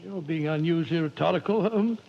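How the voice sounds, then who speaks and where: A husky, high-voiced British gentleman, blustering and stammering with loyal concern. He is a fussy, easily bewildered older fellow from a vintage radio play. The old recording is muffled. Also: brisk. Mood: puzzled. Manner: blustering, stammering, muttering exclamations. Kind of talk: 1940s radio drama acting.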